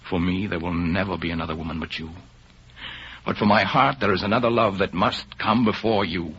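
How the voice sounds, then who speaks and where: A muffled old radio recording of a suave, fatalistic spy. His low voice is theatrical and measured, intimate and gently mocking, with a forceful bright edge. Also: breathy edge, exaggerated voice. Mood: tender, solemn. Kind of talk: 1930s radio drama acting.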